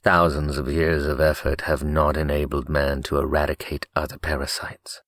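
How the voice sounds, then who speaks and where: A man voicing a calm, clinical male android in an audiobook, speaking in a measured, formal, detached way. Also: rumbling low, no room sound, clean studio sound. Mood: cold, detached. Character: calm, clinical male android science officer, coldly logical. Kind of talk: audiobook character acting.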